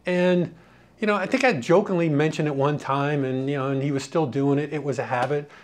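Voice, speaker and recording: A man's voice: fairly high pitch, brisk. A middle-aged or older man giving an informal, rambling lesson as a radio programming coach, conversational and full of "you know". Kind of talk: informal spoken lesson with anecdotes.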